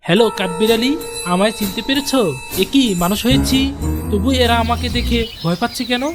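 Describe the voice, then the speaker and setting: Woman doing a sweet-natured young ghost girl, talks fast, slightly high, cartoon with music. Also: close to an everyday voice. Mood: puzzled. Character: soft-hearted young female ghost pupil fond of animals. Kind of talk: Bengali comic ghost cartoon dialogue.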